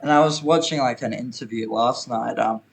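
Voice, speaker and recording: Gravelly man in a casual interview. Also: medium pitch. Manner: halting, loosely phrased.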